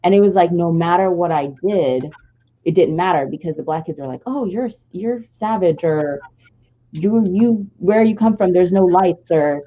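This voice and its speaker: Low-voiced woman telling a personal story. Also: normal speed, narrow, tinny audio, slight background noise. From Nigeria. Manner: earnest and reflective, long flowing stories. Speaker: podcast co-host.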